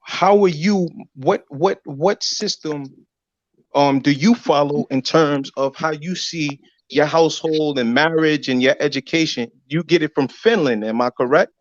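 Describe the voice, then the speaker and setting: A man's voice: fairly high pitch, measured pace, no room sound. A man hosting a panel debate, interrupting to demand specifics, on dull, dry lo-fi audio.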